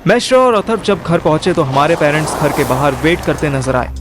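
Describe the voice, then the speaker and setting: A man playing a wry, quick-witted young man with an Indian accent, narrating and acting in a first-person audio drama. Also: on the high side, faint music behind, natural voice. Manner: animated, colloquial, mixes in English.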